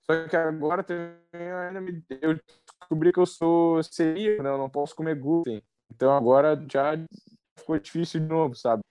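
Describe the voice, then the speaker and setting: A young man from southern Brazil with a southern Brazilian accent, a professional athlete talking casually as a podcast guest in a relaxed, easygoing way. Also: a little high, smooth, dry recording, faint music behind. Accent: southern Brazilian Portuguese. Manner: relaxed, easygoing, drawn-out fillers.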